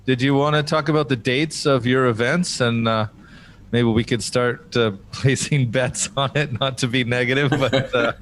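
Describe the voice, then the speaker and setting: A man's voice: medium pitch, fairly even intonation, moderate pace, fairly bright tone. A man hosting a podcast in a casual, conversational interview style. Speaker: podcast host.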